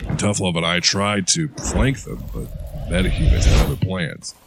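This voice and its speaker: A man's voice: low voice, lively intonation, moderate pace, background noise. A man playing a hardened veteran soldier who talks in a blunt, profane way. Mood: gruff, recounting. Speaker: interrogated war veteran. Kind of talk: audio drama acting.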